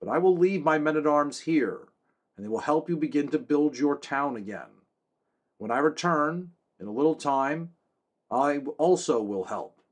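A man's voice: fairly high pitch, expressive, normal speed, pitched above the performer's own voice. A man voicing a stern, commanding young king in a storybook reading. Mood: resolute. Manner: stern, declaiming.

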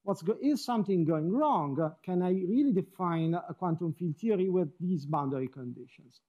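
A man's voice: high voice, lively intonation, moderate pace, dull audio. A middle-aged man from Switzerland, giving an academic seminar talk in a lecture hall, wry and self-deprecating, often rephrasing mid-sentence.

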